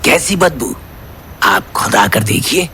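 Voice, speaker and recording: A man's voice: mid-range voice, animated, slow pace, bright, forceful tone, a little hiss or hum. A man playing an eerily courteous old innkeeper with a Rajasthani accent and a humble manner in an audio horror drama. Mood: humbly insistent. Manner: humble, deferential. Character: half-burnt old bidi-smoking male sarangi player, eerily courteous innkeeper.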